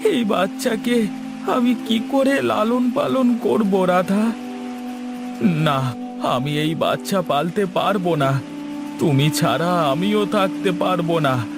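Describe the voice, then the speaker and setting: A man voicing a young village father who has just lost his wife, in a Bengali cartoon, speaking in an anguished, pleading, drawn-out way. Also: high-pitched. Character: grief-stricken young village father, widowed. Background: background music.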